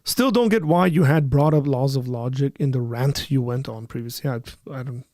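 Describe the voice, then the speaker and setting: A man co-hosting a casual livestream, reading viewer comments aloud with playful banter, his voice a little raspy. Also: medium pitch, moderate pace, darkish tone, dry recording, studio quality. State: slightly raspy from caroling. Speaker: livestream co-host reading chat.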